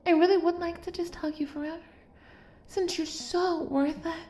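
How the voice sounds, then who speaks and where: Very high-pitched, doting yandere girl played by a woman. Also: steady tone, normal speed, a little mellow, echoey room. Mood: adoring. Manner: cutesy, gushing, coaxing. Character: obsessive, doting young female yandere kidnapper, gushing and sweet. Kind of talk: intimate roleplay audio acting, spoken straight to listener.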